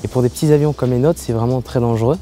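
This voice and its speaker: A young man from French Guiana, a pilot speaking earnestly in an interview, with pauses and fillers. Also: mid-range voice, noisy background. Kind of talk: interview testimony.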